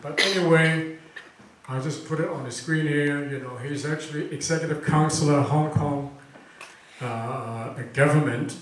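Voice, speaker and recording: A man's voice: fairly high pitch, measured pace, fairly bright tone, slight background noise. Chatty man in a very echoey room. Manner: chatty, quizzing the audience, many fillers. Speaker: event host introducing a speaker. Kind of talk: casual talk to a student audience. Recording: very echoey room recording from far off.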